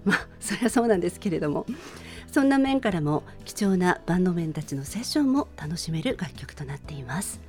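A woman's voice: lowish voice, big pitch swings, fairly quick, a little mellow. A middle-aged woman hosting a radio show, introducing a song in a polite, conversational monologue addressed to listeners. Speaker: radio show host and presenter. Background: music.

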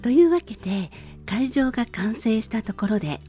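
Bright middle-aged woman hosting radio. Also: fairly high pitch, lively intonation, moderate pace. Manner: polite, formal phrasing with personal anecdotes. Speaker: radio show host. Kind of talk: gentle monologue radio talk. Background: faint music.

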